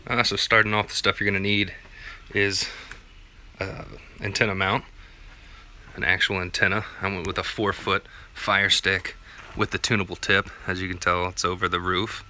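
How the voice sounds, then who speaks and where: A man with a very bright, forward voice walks through a DIY tutorial in a plainspoken, casual way. His tone stays flat and even throughout. There is some background noise on the handheld recording. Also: low-pitched, moderate pace. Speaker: vlogger presenting a DIY gear tutorial. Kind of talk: casual unscripted how-to walkthrough.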